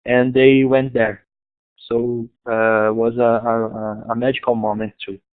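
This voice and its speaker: A man from Brazil with a Brazilian Portuguese accent, giving a talk about his school over an online conference in halting English with many restarts. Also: medium pitch, flat delivery, smooth. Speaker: guest speaker, school founder. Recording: tinny online call audio.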